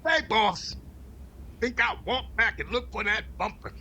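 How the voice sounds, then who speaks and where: A man acting an irreverent valet and chauffeur in a 1940s radio comedy. The voice is extremely high and lively, full of sassy wisecracks, with loud noise behind it. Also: a little slow. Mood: wry. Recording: very noisy radio play recording.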